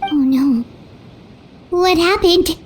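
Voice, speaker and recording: Very high, squeaky little fairy. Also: female voice, very slow, background noise, exaggerated voice. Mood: worried. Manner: squeaky, wide-eyed, expressive questioning. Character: small childlike fairy companion, earnest and curious. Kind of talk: cartoonish video game acting. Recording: studio voice under loud game music.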